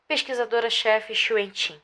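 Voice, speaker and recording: A woman playing a formal lead researcher, reading a scripted report in a measured way for an audio drama. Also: a little high, fairly even intonation, sharp tone. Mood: formal, serious.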